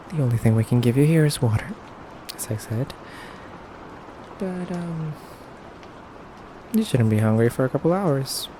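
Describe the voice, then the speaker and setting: A man playing a courteous yet menacing effeminate vampire captor in an ASMR roleplay, soft-spoken and deliberate with frequent pauses. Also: medium pitch, slight background noise, poor audio. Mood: politely menacing. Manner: soft-spoken, slow, deliberate, pausing often.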